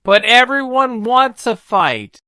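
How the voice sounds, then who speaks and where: A woman's voice: fairly high pitch, big pitch swings, slow pace, sharp tone, clear, resonant tone, dry recording, voice pushed up, exaggerated voice. A woman voicing a gallant, reasonable male knight from a storybook, in an agreeable manner. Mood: puzzled.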